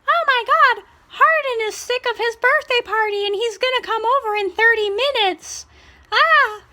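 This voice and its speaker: A woman mockingly voicing a frantic, eager young heroine in a shrill, breathless, overexcited voice. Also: moderate pace, pushed and bright. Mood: frantic excitement. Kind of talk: mocking parody reading.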